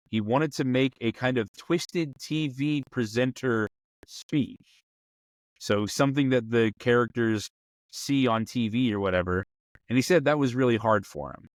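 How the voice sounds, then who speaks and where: A man's voice: mid-range voice, dry recording. A man hosting a tabletop role-playing show, talking through advice in a conversational style.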